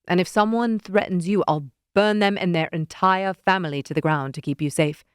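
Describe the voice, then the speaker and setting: Brooding powerful man, low and deadpan, unbothered , as a woman narrator voices him; dry close read. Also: moderate pace, smooth, no echo, natural voice. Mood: calm. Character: brooding, possessive ruler man, coolly dry.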